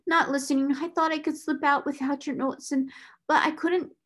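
A timid young scullery maid from a storybook, acted by a woman reader. The voice sits high and tearful. The maid stammers and pleads humbly in plain, uneducated servant speech. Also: steady tone, brisk, fairly bright tone. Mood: pleading, protesting.

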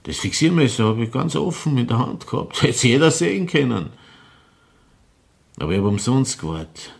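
An actor playing a bitter, woman-hating killer in a crime radio drama, confessing deliberately in Viennese dialect. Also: medium pitch, expressive, vocal fry. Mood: matter-of-fact, cold. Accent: Viennese Austrian. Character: confessing opera-house murderer, brooding, bitter woman-hater in his thirties.

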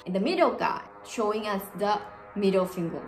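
A woman's voice: medium pitch, normal speed, roomy sound, music in the background. A young woman from Thailand with a Thai accent, chatting expressively to camera about her experiences in a casual vlog style. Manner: chatty, expressive, gestures with hands. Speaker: vlogger. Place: small home room, indoors.

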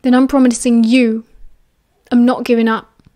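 A woman voicing a hot-tempered young male wizard student who speaks in loud, clipped, forceful bursts. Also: on the high side, level tone, normal speed, dry recording, lightly acted. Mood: angry.

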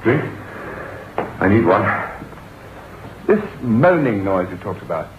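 An actor playing a glib, excitable man in an old-time radio drama, with theatrical delivery. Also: fairly low pitch, slow pace. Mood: wry, flippant. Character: flippant, excitable male friend from town. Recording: tinny, noisy old radio recording.

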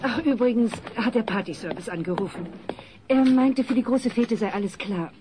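A woman's voice: a little high, normal speed, noisy background, close to an everyday voice. A woman playing a pointed, nosy office colleague in a comedy audio play.

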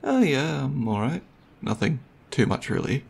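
Husky, creaky young boyfriend, played by a man. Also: mid-range voice, big pitch swings, measured pace, exaggerated voice. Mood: casual, wry. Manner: hesitant, soft-spoken, conversational, with mumbled asides. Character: gentle, nerdy, considerate young boyfriend on movie date. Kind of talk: intimate ASMR boyfriend roleplay.

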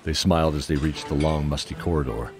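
A man's voice: very deep voice, a little fast. A man giving scripted narration for a fantasy audio drama. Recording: music under the voice. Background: music.

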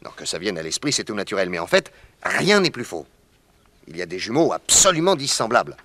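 A composed detective in an old radio mystery, reasoning aloud in a low, expressive voice. The recording is dry and close. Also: male voice, fairly quick, no room sound, full character voice. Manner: calm, explanatory. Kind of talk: 1950s French radio drama acting.

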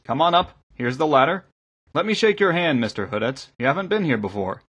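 A man acts out a kindly engineer turned lay preacher from a storybook. He is eager, welcoming and gently correcting, speaking up in a raised, husky voice. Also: fairly high pitch, animated, fairly quick, pitched above the performer's own voice. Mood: eager, hospitable. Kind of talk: audiobook character acting.

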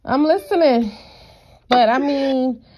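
A woman's voice: sits high, takes it slowly, darkish tone. An American woman talking casually and bluntly on a confrontational phone call, with a dismissive manner. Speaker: caller, vlogger.

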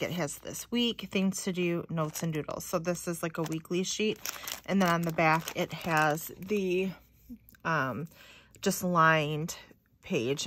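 A woman's voice: fairly low pitch, a bit bright, slight background noise. A woman chatting casually and thinking aloud as she hosts an unboxing video, sounding slightly stuffy-nosed. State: slightly stuffy, sniffly nose. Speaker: craft video host. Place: indoors at a craft table.

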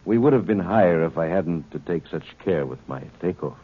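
An actor in a 1950s radio drama playing a folksy rancher who is secretly a cold alien pilot, with a drawling country way of talking. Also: male voice, low voice, lively intonation, slightly rough. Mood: cold, calm. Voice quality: drawling country speech, turning cool and measured. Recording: tinny old radio broadcast with hiss.

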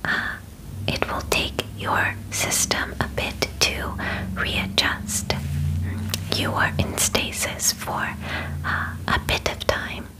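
A young woman voicing a gentle, clinical alien examiner in a breathy ASMR whisper, with halting, formal phrasing. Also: lightly acted, rough recording. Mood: patient, hesitant. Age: young adult. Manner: slow, halting, formal phrasing without contractions. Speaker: ASMR roleplay performer. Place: small bedroom, indoors. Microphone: close microphone.

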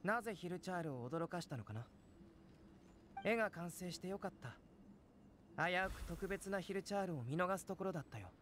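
An actor playing a calm, aloof young alchemist and artist in an anime game, speaking in a composed, intellectual way. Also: male voice, high-pitched, brisk, long pauses, slight background noise. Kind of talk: Japanese anime game voice acting.

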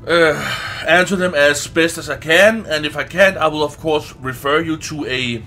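A man who is not a native English speaker gives casual gameplay commentary, haltingly searching for words and swearing now and then. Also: fairly high pitch, bright, forceful tone, slight background noise. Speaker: let's play host.

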